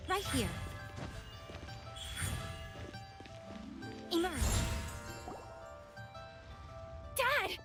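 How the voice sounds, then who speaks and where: A woman's voice: very high voice, very animated, a little fast, over music. A woman voicing an eager, caring young child in a video game. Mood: excited, relieved. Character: earnest, devoted young child of an ailing father.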